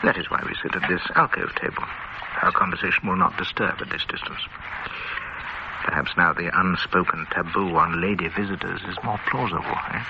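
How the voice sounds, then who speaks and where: An actor playing a pompous older male gourmet, a club regular who talks in a smug, measured, teasing way in a radio drama. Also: sits low, creaky. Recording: lo-fi old radio drama, heavy hiss.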